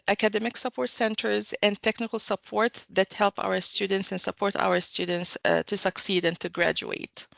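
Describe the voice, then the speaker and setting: Woman academic, gravelly voice, dry close mic, measured interview answers. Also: on the high side, a bit bright, no room sound. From Egypt. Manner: measured, explanatory, with fillers and self-corrections. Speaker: university academic guest.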